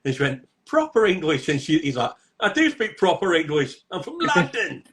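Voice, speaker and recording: A man's voice: a little high, big pitch swings, normal speed, a bit bright, a little hiss or hum. A man, co-hosting a podcast and telling jokey stories with laughter. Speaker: podcast co-host. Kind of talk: casual podcast chat.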